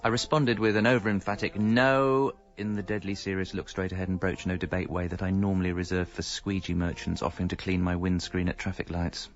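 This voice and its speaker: A man's voice: low voice, little pitch movement, brisk, hardly any pauses, close to an everyday voice. An actor playing a hapless, evasive middle-aged English husband and father, narrating a radio comedy in a wry, self-deprecating way. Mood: wry, confiding. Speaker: narrator and lead character. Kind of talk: radio comedy monologue and dialogue. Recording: slightly dull audio, music behind.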